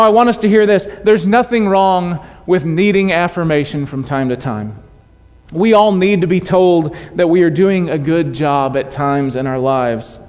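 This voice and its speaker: A man's voice: sits high, a little mellow. A man preaching a sermon to his congregation in a conversational way with light humor. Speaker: pastor. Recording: long hall reverb.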